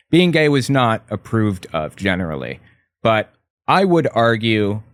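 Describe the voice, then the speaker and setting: A man who is a guest author in an interview, explaining in a measured way with occasional hesitant restarts. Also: on the low side, a bit bright, no room sound, studio quality.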